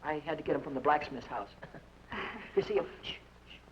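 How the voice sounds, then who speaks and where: A man's voice: on the high side, brisk, natural voice. An actor playing Denny, a timid and nervous young man who stammers, babbles and blurts things out, in 1940s radio-play style. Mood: awkward. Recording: dull old radio recording with faint hiss.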